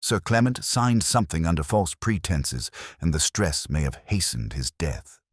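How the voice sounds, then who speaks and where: A man reads as an analytical Victorian detective in a storybook. The voice is extremely deep and husky, moving expressively in pitch. He reasons coolly, with deliberate, precise emphasis. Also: big pitch swings, no echo, clean studio sound, full character voice. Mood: certain, grave.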